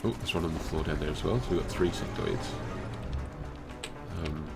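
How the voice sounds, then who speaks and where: A young man with a very low voice talks through a game in a loose, rambling way. A blocked nose gives him a nasal, stuffy tone. Loud game music plays under him. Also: moderate pace, creaky. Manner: rambling, self-deprecating. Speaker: let's play host. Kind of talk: casual game commentary. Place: home.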